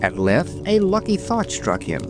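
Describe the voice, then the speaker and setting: A man's voice: fairly high pitch, wildly swooping pitch, a little slow. A man narrating a scripted bedtime fairy tale dramatically as a storyteller. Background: background music.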